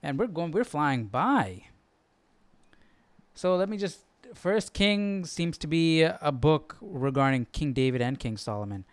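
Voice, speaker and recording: Casting: male livestream study host, slightly higher voice, informal, thinking aloud, dry close sound. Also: lively intonation, measured pace, dry recording. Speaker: host of a live Bible study. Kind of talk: casual livestream chat.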